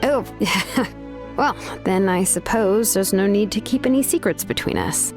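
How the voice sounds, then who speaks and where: A woman's voice: mid-range voice, unhurried, music in the background, natural voice. An actress playing an easygoing, candid young woman in an anime video game, speaking in a casual, slightly hesitant way. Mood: wry, reflective.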